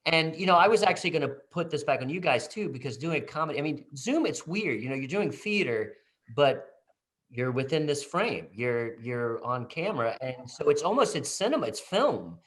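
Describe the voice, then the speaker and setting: A man's voice: a little high, very animated, moderate pace, a bit bright. A man who directed a play, speaking in a casual video-call talkback, rambling with many restarts and fillers.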